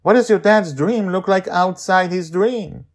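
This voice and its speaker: A man voicing a shaken, earnest young boy in an audio story, with a halting, questioning manner. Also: sits high, pitched above the performer's own voice. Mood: bewildered. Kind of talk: serialized audio story dialogue.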